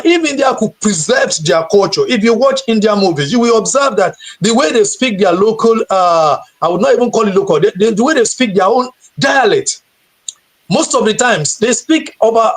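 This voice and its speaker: A man from Nigeria, a guide and teacher, answering questions earnestly on a video call, often repeating words as he searches for them. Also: high-pitched. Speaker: guest tour guide and teacher. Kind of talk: live stream interview answer.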